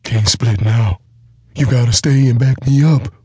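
A man's voice: medium pitch, a little slow, darkish tone, slightly rough, dry recording, pitched above the performer's own voice. A man voicing a hulking, half-witted giant who pleads and whines in a strained, raised voice. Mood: whining insistent. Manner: whining, insistent, pleading.

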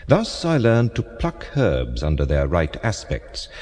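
An actor playing a solemn French Renaissance prophet and physician in an audio drama, declaiming gravely in formal, archaic phrases. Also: male voice, low voice, wildly swooping pitch, measured pace, darkish tone, exaggerated voice. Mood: solemn reminiscence. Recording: long cavernous reverb on voice.

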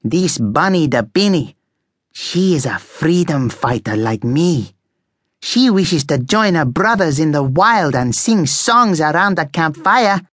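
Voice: mid-range voice, lively intonation, takes it slowly, a bit bright, no echo, clean studio sound, voice pushed up. A narrator voicing a cross, fiery male guinea pig revolutionary, speaking dramatically in a children's story. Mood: excited, grand. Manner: declamatory, dramatic.

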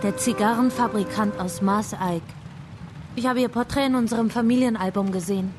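A woman's voice: medium pitch, brisk, faint music behind, close to an everyday voice. An actress playing a reserved, observant young city-bred woman in a German radio drama. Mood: cool, curious. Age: young adult.

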